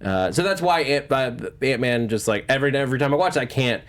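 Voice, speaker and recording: Guy hosting a film chat panel, casual, kinda halting and rambly, lots of opinions. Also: medium pitch, animated. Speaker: panel show host. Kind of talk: casual panel discussion about films.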